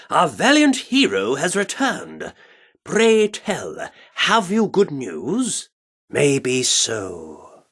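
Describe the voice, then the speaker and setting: Game role: Shakespeare-mad theatre director, grandiose and earnest, swooping intonation, fairly high male voice. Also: gravelly, pushed and bright, breathy edge, full character voice. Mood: eager, excited. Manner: theatrical, grandiloquent, archaic turns of phrase. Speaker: voice actor as game character. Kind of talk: theatrical video game character dialogue.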